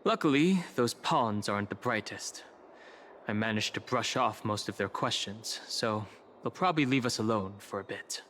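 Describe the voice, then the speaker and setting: An actor playing a cautious, loyal young traveling companion in a video game, speaking in a measured, thoughtful way. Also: male voice, on the low side, animated, fairly bright tone, a little hiss or hum. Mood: wary, pondering. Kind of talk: video game voice acting, conversational dialogue. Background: background music.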